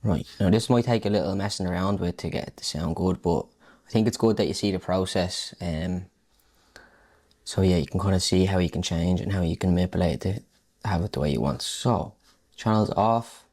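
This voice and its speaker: A man's voice: low voice, a little fast, darkish tone. A man giving a casual, unscripted music production tutorial, thinking aloud informally as he works. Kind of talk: casual unscripted tutorial talk while working.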